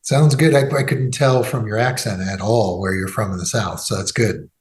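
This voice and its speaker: A man's voice: on the low side, normal speed. A man hosting a legal podcast, talking in a loose conversational way with fillers and restarts. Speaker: podcast host and law firm executive.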